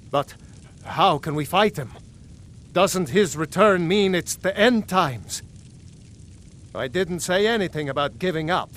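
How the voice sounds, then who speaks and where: An actor playing a proud, wary lord of a city in a fantasy video game, speaking in a measured, earnest, commanding manner. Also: male voice, fairly high pitch, fairly bright tone, a little hiss or hum. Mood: troubled. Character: proud, wary male Nord jarl guarding his city. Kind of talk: video game character dialogue.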